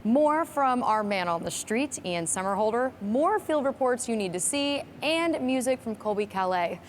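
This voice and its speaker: A woman's voice: a little high, lively intonation, moderate pace. A woman hosting a program, presenting scripted segments to viewers. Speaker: program host. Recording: clear background noise.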